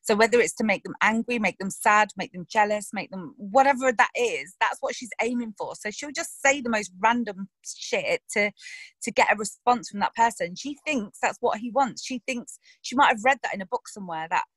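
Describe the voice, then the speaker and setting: Young woman, actress, casual interview, expressive, middle pitch, dry close recording. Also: moderate pace, dry recording, rough recording. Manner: animated, acts out quoted lines, laughs. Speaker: actress interviewed about her characters.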